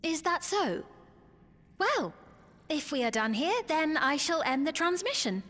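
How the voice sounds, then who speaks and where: A woman's voice: sits high, sing-song, a little slow, fairly bright tone, exaggerated voice. A woman playing an artificial queen, a politely commanding villainess with airy manners, in a video game cutscene. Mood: cool, polite.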